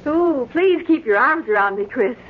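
An actress playing a devoted, anxious, trusting wife in a 1940s-style radio drama. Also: female voice, high voice, expressive, normal speed. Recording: muffled, noisy old radio broadcast recording.

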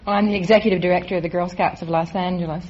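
A woman's voice: lowish voice, fairly even intonation, very fast, a little mellow. A woman in her thirties from New Jersey with an American accent, answering questions as a quiz contestant. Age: 30s. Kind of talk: unscripted interview answers. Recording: muffled vintage radio, audience present.

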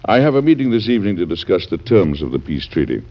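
An actor playing a grave, conscientious middle-aged American president in classic radio drama style, speaking in a deliberate, formal, earnest manner. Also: male voice, deep voice, big pitch swings. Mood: measured, courteous. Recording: dull band-limited old radio recording.

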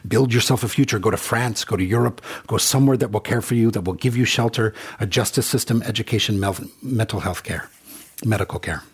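A man's voice: on the low side, brisk, husky. A middle-aged man from Congo who drives for a rideshare service, telling stories in an earnest, reflective monologue. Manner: earnest, reflective storytelling with quoted exchanges. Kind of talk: personal monologue.

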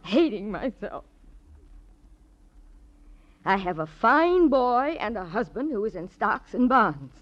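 A woman's voice: medium pitch, very animated. An actress playing a desperate, pleading middle-aged society woman who drinks, in an old-time radio drama. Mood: anguished, ashamed. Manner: pleading, emotional, haltingly repeating herself. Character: desperate middle-aged socialite drunk with a past. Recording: muffled vintage radio recording.

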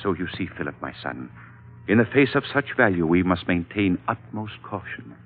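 An actor playing an elderly, dignified Chinese patriarch who speaks formally and solemnly in a vintage radio drama. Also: male voice, sits low, big pitch swings, slightly rough, heavily acted. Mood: grave warning. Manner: formal, stately, measured oratory. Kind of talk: 1940s radio drama acting. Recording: muffled vintage radio recording, music behind.

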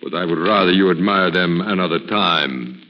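Man playing a deep-voiced, sinister, suave fortune teller. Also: normal speed, natural voice. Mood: suave, guarded. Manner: formal, smooth-spoken, commanding. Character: sinister suave male fortune teller, menacing and falsely courteous. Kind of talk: old-time radio drama acting. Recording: muffled old radio recording, hissy, reverberant.

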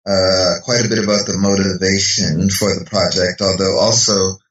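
A man's voice: deep voice, fairly even intonation, normal speed, fairly bright tone, highs cut off. A middle-aged man from Canada, a philosophy professor answering interview questions in a hesitant way, with many fillers and restarts. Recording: echoey, distant from the mic.

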